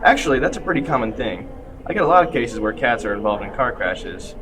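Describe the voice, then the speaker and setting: A man's voice: mid-range voice, big pitch swings, a little fast, natural voice. Offbeat male doctor over crowd murmur man acting. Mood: breezy. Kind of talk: student radio play acting.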